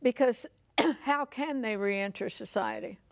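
Older American woman, fairly high voice. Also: animated, unhurried. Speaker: talk show host. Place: furnished living-room style TV studio set.

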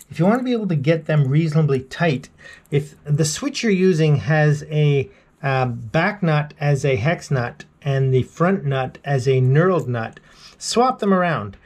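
A man's voice: a little high, expressive, unhurried, a little mellow. A middle-aged man casually talking through repair tips in a small wooden boat cabin, chatty and self-deprecating. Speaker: vlogger. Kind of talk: casual how-to vlog narration.

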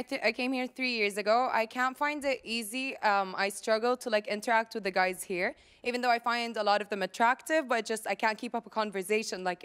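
A woman's voice: fairly high pitch, fairly bright tone, clean tone. A young woman from Palestine in a studio audience, hesitantly asking a question into a handheld mic. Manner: hesitant, lots of fillers. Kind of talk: asking a question on a talk show.

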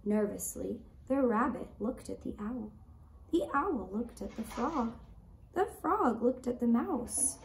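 A woman telling a children's puppet story in a deliberate, expressive way, recorded from a few feet away indoors. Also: on the high side, creaky. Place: indoor room set up as puppet stage. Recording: roomy echo, faint background noise.

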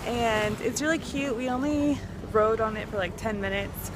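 A woman's voice: fairly high pitch, normal speed. Twenty-something girl vlogging on a beach, camera in hand, chatty, lots of outdoor noise. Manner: chatty, upbeat, self-filming. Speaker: travel vlogger. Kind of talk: casual travel vlog. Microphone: camera held at arm's length.

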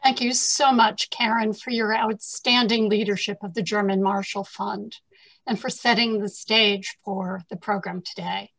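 An older American woman moderating a webinar over a video call from a home study, gracious and measured, reading prepared remarks. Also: mid-range voice, steady tone, creaky, a bit bright, poor audio.

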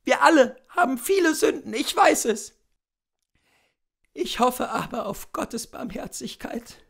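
A man voicing a pious, self-pitying dying gentlewoman in a story reading, plaintive and dramatically fretful. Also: very high-pitched, animated, measured pace, gravelly, husky, voice pushed up, heavily acted. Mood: lamenting, pious. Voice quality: weak, plaintive. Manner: fervent, dramatic lamenting.

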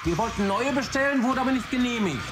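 Actress as plaintive young woman, very animated. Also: a little high, fast, music in the background, close to an everyday voice. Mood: complaining. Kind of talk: radio play dialogue.

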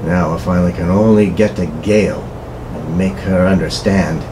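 A man's voice: low-pitched, steady tone, moderate pace, pitched below the performer's own voice, natural voice. A man voicing a brave, desperate pulp hero in earnest, melodramatic radio horror style. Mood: urgent, determined. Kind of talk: old-time radio horror acting. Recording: clearly noisy recording.

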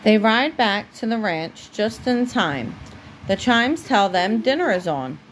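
A woman reading a children's storybook aloud as a mother would at bedtime, with deliberate pauses. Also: mid-range voice, sing-song, a little hiss or hum, rough recording.

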